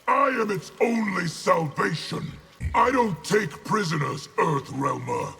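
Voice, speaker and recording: A man's voice: sits high, animated, takes it slowly, gravelly, sharp tone, slightly rough, soft background music, heavily acted. A man playing a boastful, brutal warlord general in a video game, scornful and aggressive. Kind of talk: video game character acting.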